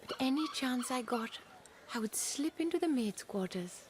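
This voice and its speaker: Radio drama wife: guarded, desperate, proud, on the higher side. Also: female voice, lively intonation, normal speed, slight background noise. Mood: confiding, soft. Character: anxious, secretive young wife and mother, proud, desperate. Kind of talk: radio play acting.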